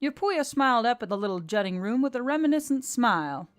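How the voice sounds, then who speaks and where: High-voiced woman narrating fiction. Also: expressive, fairly quick. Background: faint music.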